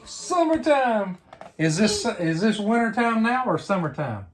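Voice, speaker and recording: A woman reading a picture book aloud to a young child, pausing to ask simple questions. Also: fairly high pitch, very animated. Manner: simple questions and prompts addressed to a small child. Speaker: bedtime story reader.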